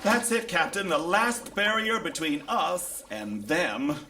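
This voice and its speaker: An actor playing an eager, anxious crew sidekick, earnest and deferential, in a comic sci-fi radio play. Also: male voice, high voice, sing-song, slow pace, pushed and bright, a little hiss or hum, exaggerated voice.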